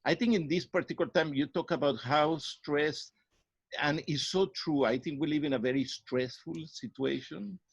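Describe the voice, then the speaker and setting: A man's voice: fairly high pitch, moderate pace, vocal fry, husky, dry recording. Mexican-accented man, dry dull sound. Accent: Mexican Spanish. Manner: earnest, searching for words, repeats himself. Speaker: psychologist guest. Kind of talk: interview answers.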